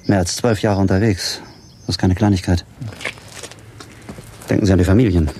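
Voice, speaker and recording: An actor playing a grave professor who runs space missions in a radio drama, mellow, measured and formal. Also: male voice, low-pitched, fairly even intonation, a little hiss or hum, lightly acted. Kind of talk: German radio drama acting.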